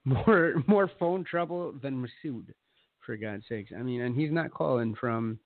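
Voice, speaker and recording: A man's voice: medium pitch, a little slow, dry recording. A man chatting on a podcast, laughing a lot and throwing in cheeky asides. His voice comes through a thin, phone-like line in a dry space. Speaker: podcast co-host. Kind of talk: casual chat.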